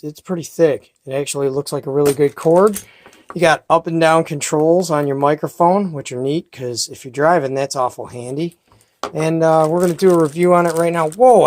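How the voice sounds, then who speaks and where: A man's voice: on the high side, slight background noise. A man hosting a casual radio review, talking in an enthusiastic, folksy, rambling way while filming at home on a handheld camera mic. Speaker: host of a CB radio review channel. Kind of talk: casual product review and unboxing.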